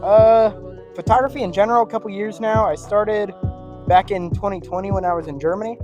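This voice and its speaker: A man's voice: high-pitched, a little fast, darkish tone, dull audio, soft background music. A young man from Indiana talking casually as a podcast guest about his photography. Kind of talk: casual interview chat.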